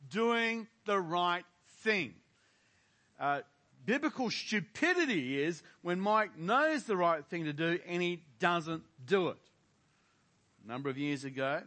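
Older guy preaching in church, kinda high voice, lots of um and uh and asking the crowd stuff. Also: fairly bright tone. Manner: earnest preaching full of rhetorical questions to the congregation; frequent fillers and restarts. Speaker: pastor. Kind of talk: sermon with opening prayer.

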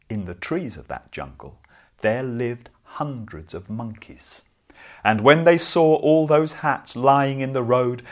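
A man telling a children's story as an oral storyteller, in measured, dramatic cadences with deliberate pauses. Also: mid-range voice.

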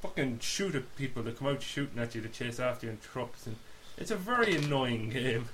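A man's voice: medium pitch, expressive, fairly quick, a bit bright. A man co-hosting a podcast, bantering casually and bluntly about films and games, with plenty of swearing. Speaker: podcast co-host. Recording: faint background hiss.

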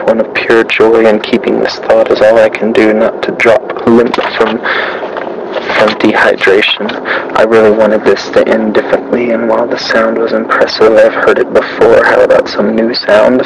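A man murmurs his diary entries in a low, gravelly voice with little rise and fall. His tone is introspective and brooding, and a steady hiss of noise sits beneath it. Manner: quiet, brooding, introspective musing. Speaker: audio log narrator. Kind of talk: personal audio diary.

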